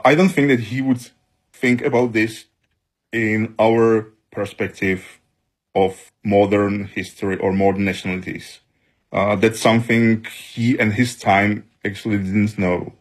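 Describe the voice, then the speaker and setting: Husky, hesitant male historian. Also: on the low side, little pitch movement, unhurried. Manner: thoughtful, hesitant. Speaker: historian interviewee. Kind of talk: interview answer.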